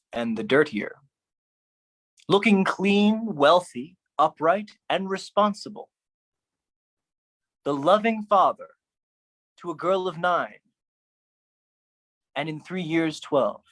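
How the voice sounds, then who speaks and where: Slow, deliberate theatrical spoken-word performer. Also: mid-range voice, animated, a bit bright. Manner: dramatic, deliberate, heavily emphatic delivery. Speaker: monologue performer.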